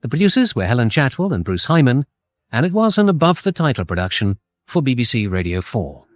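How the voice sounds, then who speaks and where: A man giving a scripted, formal, deadpan radio announcement. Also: mid-range voice, fast.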